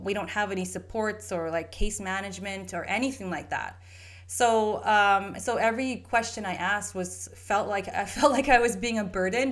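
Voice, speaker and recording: A woman's voice: mid-range voice, fairly bright tone. A woman from Canada hosting her own podcast, telling her story in a rambling, earnest way with many restarts. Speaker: podcast host and holistic health practitioner. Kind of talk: casual solo podcast monologue.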